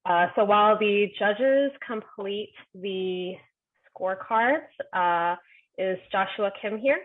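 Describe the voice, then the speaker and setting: A woman's voice: medium pitch, takes it slowly. Host woman on a tinny call line. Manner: friendly, filler-heavy talk. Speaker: event host. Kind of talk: casual moderating. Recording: tinny phone-like call audio.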